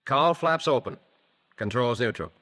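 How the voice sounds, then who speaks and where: An American man narrating a scripted 1940s instructional film in a crisp, methodical manner, on a vintage film soundtrack. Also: medium pitch, animated, a little slow, pushed and bright.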